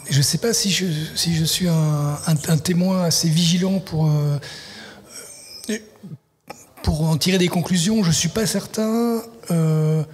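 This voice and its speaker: A man's voice: on the high side, darkish tone. A man, a literary translator, answering interview questions in a thoughtful, hesitant way, often restarting his sentences. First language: French. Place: festival venue, live broadcast.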